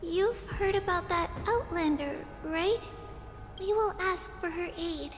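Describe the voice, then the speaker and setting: A woman voicing a small, earnest, polite girl peacemaker in an anime-style fantasy game, pleading gently. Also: very high voice, takes it slowly. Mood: earnest, conciliatory. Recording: long reverb, music behind. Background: music.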